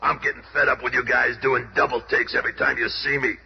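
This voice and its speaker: Cranky stone-bodied brawler, male actor: brash, streetwise, gravelly, radio drama style, dull lo-fi sound. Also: mid-range voice, flat delivery, fast, close to an everyday voice. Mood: grumbling. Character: gruff, cranky, wisecracking rock-bodied brawler hero. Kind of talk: 1970s radio drama acting. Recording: noisy, muffled old radio recording.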